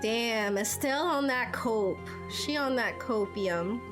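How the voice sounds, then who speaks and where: Slow-paced woman reacting to a game. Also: fairly high pitch, lively intonation. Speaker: streamer playing a story game. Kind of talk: casual reaction commentary. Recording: music playing behind. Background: game music.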